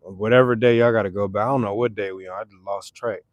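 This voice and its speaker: A man's voice: on the low side, fairly even intonation, fast, no echo. A man hosting a casual livestream, chatting with viewers and answering their comments. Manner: laid-back, repeats phrases, reads chat aloud. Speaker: livestream host. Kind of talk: casual live chat with viewers.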